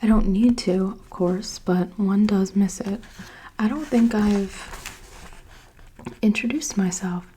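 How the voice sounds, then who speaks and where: A woman's voice: medium pitch, slow, long pauses, warm tone, a little hiss or hum, close to an everyday voice. A woman voicing a melancholy, courtly old male ghost, whispering. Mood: wistful.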